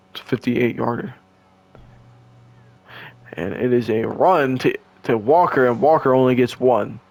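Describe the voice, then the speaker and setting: A young man, hoarse and losing his voice, doing casual, slangy play-by-play of a video game football match. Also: medium pitch. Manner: excitable, slangy, stumbles and restarts. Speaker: play-by-play commentator.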